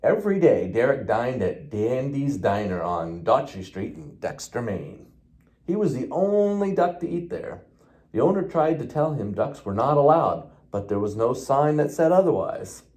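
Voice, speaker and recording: Man reading a storybook, very lively pitch. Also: normal speed. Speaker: police officer reading a children's book. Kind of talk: storybook read-aloud.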